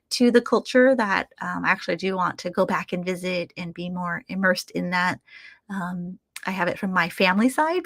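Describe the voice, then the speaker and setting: A woman's voice: lowish voice, a bit bright, dry recording. A Vietnamese American woman speaking as the guest artist in a webinar, talking reflectively with many fillers and restarts, heard over a video call. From United States. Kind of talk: casual webinar talk.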